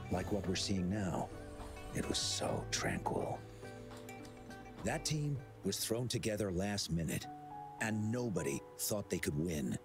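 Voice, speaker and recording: A kindly game official who looks back fondly on the past. His voice is very low and gravelly, and his pitch rises and falls in a lively way. Game music plays clearly under him. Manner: soft, reflective, nostalgic. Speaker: game character.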